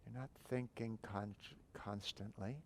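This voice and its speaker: A man guiding a breathing meditation, giving calm, unhurried instructions with long pauses between phrases. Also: fairly low pitch, a little hiss or hum. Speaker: meditation teacher.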